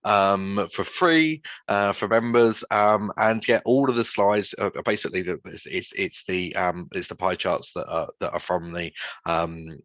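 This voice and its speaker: A man speaking unscripted as a guest in a webinar discussion, halting and often rephrasing mid-sentence. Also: low-pitched, a bit bright, no echo. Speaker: guest speaker presenting a survey report.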